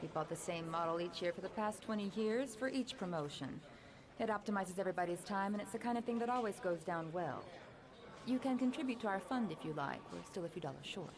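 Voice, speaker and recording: A woman acting a brisk, helpful police office worker in a video game, courteous and matter-of-fact. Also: fairly low pitch, animated. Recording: game scene with busy crowd behind.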